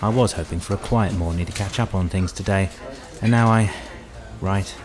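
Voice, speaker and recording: An actor playing an exasperated, authoritative senior official in an audio drama, curt and sarcastic. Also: male voice, low-pitched, normal speed, crowd noise, natural voice. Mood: resolute.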